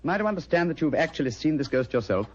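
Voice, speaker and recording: An actor playing a keen, composed English gentleman detective, precise and formal, in an old-time radio mystery. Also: a little high, big pitch swings, rapid-fire, breathy edge. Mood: inquisitive. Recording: muffled old radio recording, hiss.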